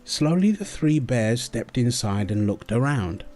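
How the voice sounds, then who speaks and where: Mellow, soothing man with animated intonation. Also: medium pitch, a little slow, faint music behind. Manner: soothing, tender, affectionate. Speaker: audio roleplay performer as husband and father. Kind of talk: intimate comfort roleplay and bedtime storytelling.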